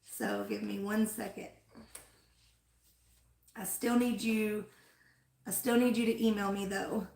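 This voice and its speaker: A woman's voice: medium pitch, level tone, slow, gravelly, long pauses, darkish tone. A woman hosting a flower-farm livestream in casual chat, rambling with lots of "like" and "um", her voice worn after being sick. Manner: rambling, fills with "like" and "um". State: losing her voice after an illness. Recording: echoey room.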